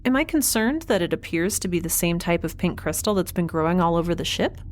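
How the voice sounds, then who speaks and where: A woman's voice: lowish voice, expressive, fairly quick, slight background noise, close to an everyday voice. A woman playing an earnest young ship's medic in an audio drama, hesitant and rambling as she thinks aloud. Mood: thoughtful. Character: X, earnest young woman, improvised ship medic, awkwardly reassuring.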